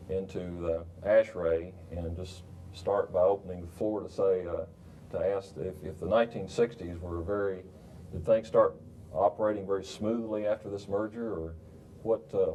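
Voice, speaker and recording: Older guy moderating a panel, low mellow voice, kinda halting, bit of rumble on the old tape. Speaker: roundtable moderator. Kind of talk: formal, informally unscripted introduction. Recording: rumbly 1990s video recording.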